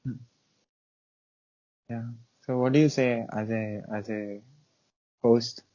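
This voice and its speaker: A man hosting a casual online interview, speaking haltingly with frequent restarts and "uh"s. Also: medium pitch, steady tone, dark tone, highs cut off. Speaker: interview show host.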